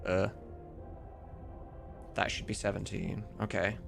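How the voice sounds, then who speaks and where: A man's voice: fairly low pitch, level tone, slow, vocal fry, over music. A man livestreaming as he works, chatting casually with viewers and muttering to himself. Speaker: livestreamer and game modder. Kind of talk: casual chat while working.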